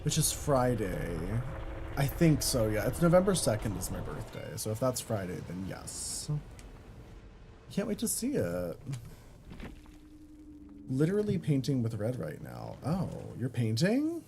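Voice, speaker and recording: Young male streamer, very expressive pitch, unhurried delivery, casual and chatty. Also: a little hiss or hum. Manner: chatty, swears, reads chat aloud, swings between flat mutters and high squeals. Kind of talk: casual gaming stream chat.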